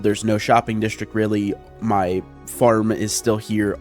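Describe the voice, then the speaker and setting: A man's voice: lowish voice, darkish tone. A man giving casual, chatty gameplay commentary, explaining his plans step by step as a video creator speaking into a close mic. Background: background music.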